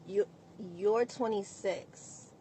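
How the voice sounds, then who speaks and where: A woman of forty-one giving a casual reaction, baffled and halting in her delivery. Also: mid-range voice, dark tone, a little hiss or hum, low-quality recording.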